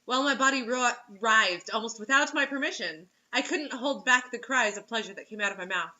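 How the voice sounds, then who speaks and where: A woman's voice: fairly high pitch, brisk, sharp tone, dull audio. A woman reading fiction aloud, giggly and often breaking off into incredulous joking asides. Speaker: reader and co-host mocking bad fiction.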